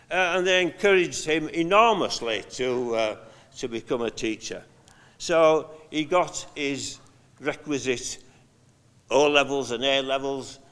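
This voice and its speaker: An older man giving a halting, conversational memorial eulogy, telling anecdotes from notes with frequent pauses. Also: medium pitch, a bit bright. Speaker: eulogy speaker, friend of the deceased. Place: large hall at a memorial service. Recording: long hall reverb.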